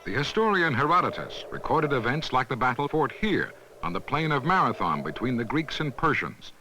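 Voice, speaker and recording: A man reads scripted documentary narration in a measured, instructional manner, as on a 1970s educational film soundtrack. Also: medium pitch, big pitch swings, bright, forceful tone, a little hiss or hum, poor audio.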